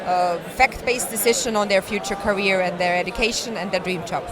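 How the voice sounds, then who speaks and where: A woman's voice: medium pitch, a little fast. A woman from Austria with an Austrian accent, giving explanatory interview answers as a startup representative at a busy conference. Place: busy tech conference venue. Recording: event hall with clear crowd chatter.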